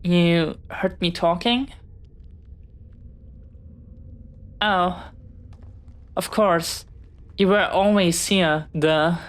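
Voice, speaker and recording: A woman acting in a scripted audio roleplay as a bookish, dreamy young pirate, jumpy but earnest, speaking haltingly and dramatically straight to the listener. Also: mid-range voice, a bit bright. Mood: relieved, sheepish. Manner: dramatic, halting, swinging from theatrical threats to sheepish chatter.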